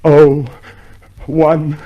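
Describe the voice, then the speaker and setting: An actor playing a tormented, broken prisoner in a vintage radio drama, halting and anguished as he repeats himself. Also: on the high side, completely flat delivery, highs cut off. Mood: numb dread. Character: tormented, broken, frightened yet defiant male prisoner. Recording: faintly noisy old radio drama recording.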